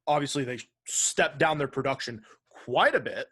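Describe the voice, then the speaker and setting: A man's voice: mid-range voice, expressive, normal speed, a bit bright. A man co-hosting a video game podcast, chatting casually in a blunt, opinionated way. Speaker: podcast host.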